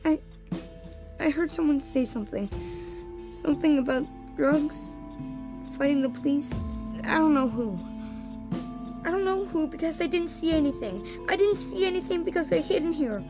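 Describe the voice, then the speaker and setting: A woman playing a traumatized, timid young boy in a video game, speaking haltingly. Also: sits high, animated. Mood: frightened. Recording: music behind the voice.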